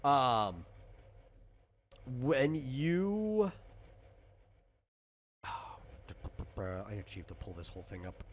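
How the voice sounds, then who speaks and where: A man casually explaining tabletop game rules as a game master, in a rambling, halting way that circles back and rephrases. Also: mid-range voice, very animated, slight background noise.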